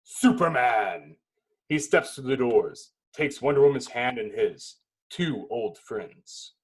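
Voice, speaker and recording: Husky man narrating a screenplay. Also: mid-range voice, lively intonation, rough recording. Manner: dramatic, clipped phrases with pauses. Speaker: narrator reading stage directions. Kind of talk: dramatic screenplay narration in a table read.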